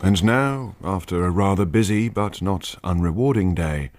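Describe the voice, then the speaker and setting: A man's voice: low voice, normal speed, darkish tone, close to an everyday voice. A man performing as a wry, knowing narrator of a literary radio drama. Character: wry puppet-master narrator voicing the story's players.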